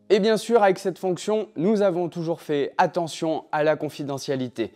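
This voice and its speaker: A man with a slightly high voice narrates a scripted tutorial. He explains in a clear, instructional manner, taking things step by step. Light music plays behind him. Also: mellow. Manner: clear didactic step-by-step delivery. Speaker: tutorial presenter. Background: background music.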